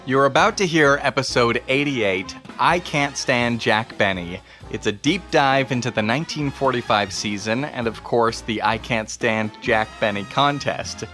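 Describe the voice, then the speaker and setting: Male podcast host, forward bright tone, scripted introduction over faint music. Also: mid-range voice, measured pace, hardly any pauses.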